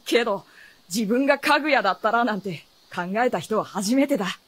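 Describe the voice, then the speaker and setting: A woman's voice: fairly high pitch, animated, a little fast, a bit bright. A woman playing a guarded, knowing adolescent boy in a Japanese fantasy radio drama, speaking in a boyish, matter-of-fact way.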